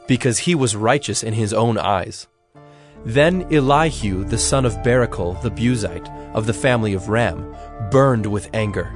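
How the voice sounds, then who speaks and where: Male scripture narrator over faint music. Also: fairly low pitch.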